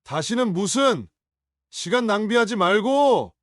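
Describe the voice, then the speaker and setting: An actor playing a hostile, hungover young adult son in an audio drama, sneering and confrontational. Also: male voice, high-pitched, big pitch swings, a little slow, fairly bright tone, no room sound, full character voice. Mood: raging.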